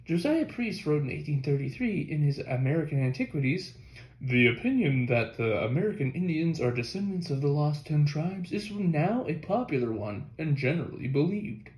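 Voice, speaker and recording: A man's voice: a little high, big pitch swings, normal speed, darkish tone. A man reading a nonfiction history book aloud as scripted audiobook narration. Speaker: audiobook reader. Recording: echoey room.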